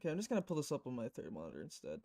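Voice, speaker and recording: Woman streamer with lively, swinging pitch. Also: racing. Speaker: game streamer. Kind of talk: casual livestream talk, reading visual novel lines aloud.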